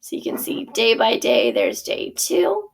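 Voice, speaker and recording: A woman's voice: on the high side, big pitch swings, measured pace, gravelly, slightly rough, in a whisper. A woman theater teacher giving a friendly instructional walkthrough of her curriculum over a screen share, with frequent ums and small self-corrections.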